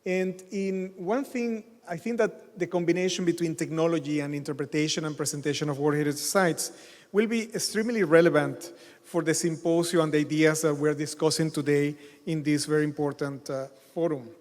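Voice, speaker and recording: Middle-aged man, keynote speaker, halting delivery, slightly high voice, long hall reverb. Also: steady tone, darkish tone. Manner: halting, many fillers and restarts. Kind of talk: conference keynote lecture. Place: large hotel conference hall.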